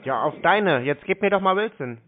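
A man's voice: high-pitched, animated, normal speed. A man arguing over the phone, blunt, accusatory and insistent. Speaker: scam-baiting caller. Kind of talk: confrontational phone conversation. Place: phone call. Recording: tinny, narrow-band phone line.

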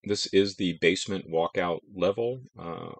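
A middle-aged man calmly explaining his house framing work outdoors on a build site, pausing often with "uh". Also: sits low, dry recording. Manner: measured, explanatory, frequent "uh" fillers. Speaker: homestead builder. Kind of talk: casual build narration.

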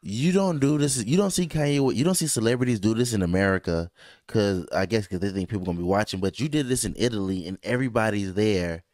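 A man's voice: on the low side, fast talker, darkish tone, no echo. A young man co-hosting a podcast, joking and rambling in loose casual banter from a small studio. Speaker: podcast co-host. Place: small home studio, indoors.

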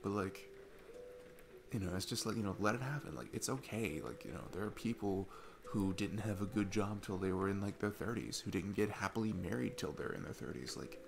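A man's voice: lowish voice, a little fast, vocal fry, noisy background. A young man playing an easygoing, earnest divorced dad on a date, chatting in a casual, rambling ASMR roleplay style. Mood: relaxed, musing. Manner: rambling, informal, chuckling often. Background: music.

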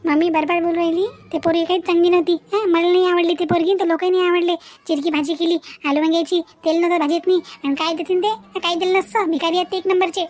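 A woman's voice: extremely high pitch, level tone, quick pace, hardly any pauses, darkish tone. A woman voicing a cheeky, disdainful young girl in a cartoon, whining and complaining in a Vidarbha Maharashtrian dialect. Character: cheeky, disdainful young daughter butting into adults' talk. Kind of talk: comic family drama cartoon dubbing.

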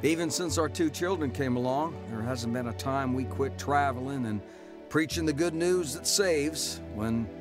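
A man's voice: medium pitch, normal speed, natural voice. Guy playing an ex-con preacher telling his life story, radio drama, music behind. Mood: earnest, reflective. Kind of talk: first-person radio drama narration.

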